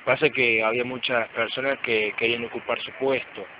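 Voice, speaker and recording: A man's voice: medium pitch, flat delivery, brisk, natural voice. An amateur man actor playing a sincere company worker revealing a crime in a radio play. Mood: earnest. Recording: muffled, noisy amateur recording.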